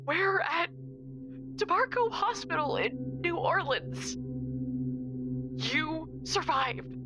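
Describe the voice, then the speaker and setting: An actress playing a terrified, desperate woman begging for help in an audio drama, tearful and halting. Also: very high voice, lively intonation, full character voice. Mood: terrified despair. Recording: music behind the voice. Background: music.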